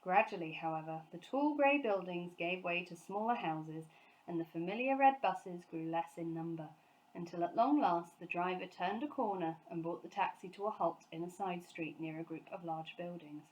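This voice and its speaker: Animated woman reading a children's story. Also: on the low side, moderate pace, poor audio. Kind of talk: expressive scripted story narration.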